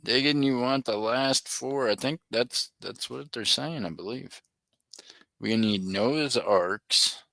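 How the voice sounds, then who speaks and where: A man's voice: mid-range voice, slow pace, gravelly, bright, forceful tone, dry recording. A middle-aged man hosting a live auction stream, chatting and joking with viewers by name. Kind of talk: casual livestream chat.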